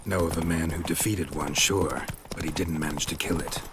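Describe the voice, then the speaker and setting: A man playing a stoic monster hunter in a video game, speaking in terse, deadpan, clipped sentences. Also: extremely low pitch, normal speed, background noise. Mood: stoic, matter-of-fact.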